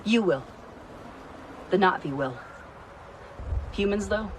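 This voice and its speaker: An actor playing a weary, reflective pilot from a desert homeland, calm and frank, in a video game cutscene. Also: male voice, sits high, slow pace, slight background noise, poor audio. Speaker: game character. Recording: game cutscene with loud music.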